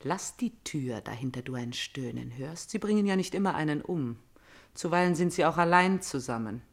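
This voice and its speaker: An actress playing a bitter, hate-consumed princess in a classical radio tragedy, scornful and declamatory, moving between hushed menace and loud cries. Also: female voice, low-pitched, expressive, moderate pace. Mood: grim, hushed. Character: bitter, hate-consumed princess obsessed with avenging her father. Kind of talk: classical German stage-tragedy radio acting.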